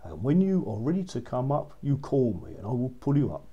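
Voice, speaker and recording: A man's voice: mid-range voice, very animated, a little fast, slightly rough, dull audio. A man voicing a scheming, bossy old witch in a children's story. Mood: coaxing.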